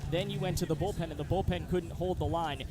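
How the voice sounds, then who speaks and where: A man's voice: fairly high pitch, fairly even intonation, quick pace, noisy background. A man giving conversational baseball analysis as a live color commentator.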